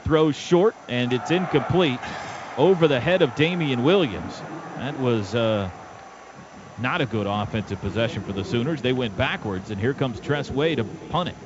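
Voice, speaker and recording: A man's voice: mid-range voice, expressive, moderate pace. An American man calling live football on radio as play-by-play announcer, in short clipped present-tense bursts. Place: stadium radio booth. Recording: dull, noisy stadium radio broadcast. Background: clear outdoor stadium background noise.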